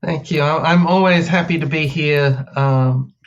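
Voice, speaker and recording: Male Australian guest, higher-set voice with slight cold hoarseness, casual delivery, dull recording. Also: fairly even intonation, unhurried. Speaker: podcast guest. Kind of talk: casual chat.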